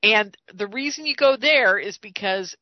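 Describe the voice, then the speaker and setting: A woman in her late sixties speaks as a radio host, pitched in the middle range for a woman. She is chatty and emphatic, circling back to repeat her points as she makes informal pitches to listeners. The sound is dry and somewhat dull, like modest lo-fi broadcast audio. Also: lively intonation, measured pace, no echo. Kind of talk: informal host-read sponsor and donation appeal.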